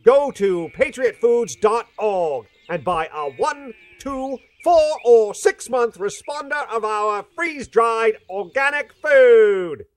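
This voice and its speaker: An actress playing a grave, alarmist commercial announcer in a radio play, reading a scripted advert in a deliberate, dramatic manner. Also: female voice, fairly high pitch, lively intonation. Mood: grave warning.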